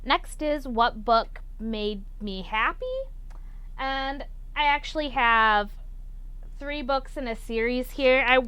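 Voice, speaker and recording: A woman's voice: on the high side, slow pace, pushed and bright, clear, resonant tone, dry recording. A young woman chatting casually about books as a video host, candid and opinionated in her reviews. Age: young adult. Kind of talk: casual book chat to camera.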